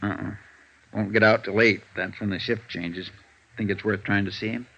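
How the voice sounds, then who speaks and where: Low-voiced resigned American lawyer. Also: male voice, steady tone, moderate pace, creaky, sharp tone, full character voice. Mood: matter-of-fact. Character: resigned, plain-spoken male defense lawyer. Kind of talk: 1950s radio drama acting. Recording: muffled vintage radio recording.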